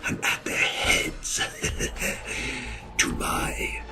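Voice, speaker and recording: A man voicing a bloodthirsty vampire inquisitor who serves his lord, with a breathy, hissing rasp and drawn-out menace in a fantasy audio drama. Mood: gleeful bloodlust. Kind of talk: theatrical dark fantasy audio drama acting. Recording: roomy echo. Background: music.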